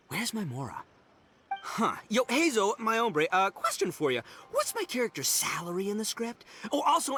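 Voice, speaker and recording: A man's voice: sits high, bright, forceful tone, heavily acted. An actor voicing a boisterous, gullible, big-hearted young man in a video game, brash and bumbling as he talks. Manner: bumbling, brash, stammering over big words. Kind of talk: exaggerated video game voice acting.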